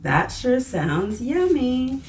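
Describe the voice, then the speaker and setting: A woman's voice: high-pitched, sing-song, very slow, a little mellow, slight background noise, pitched above the performer's own voice, heavily acted. A woman voicing an eager, polite little boy from a storybook, exclaiming and drawing out words. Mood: longing.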